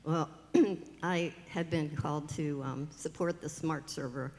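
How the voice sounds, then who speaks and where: Low gravelly woman on a church panel. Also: fairly even intonation, slow pace, darkish tone. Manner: earnest, self-deprecating. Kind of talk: panel discussion. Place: church hall. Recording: echoey hall.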